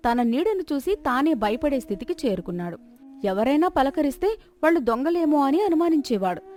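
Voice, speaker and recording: A woman's voice: high-pitched, big pitch swings, fairly quick, darkish tone, soft background music. A woman narrator reading a scripted moral story as a storyteller.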